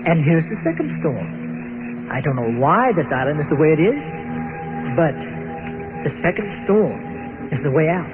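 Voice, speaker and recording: Guy doing earnest old-fashioned archaeologist, higher voice, halting storyteller, radio play, dull lo-fi sound. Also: big pitch swings. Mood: earnest explaining. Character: stranded 19th-century archaeologist, courteous, awed by his island. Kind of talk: old time radio drama acting. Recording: muffled radio-show recording with music behind.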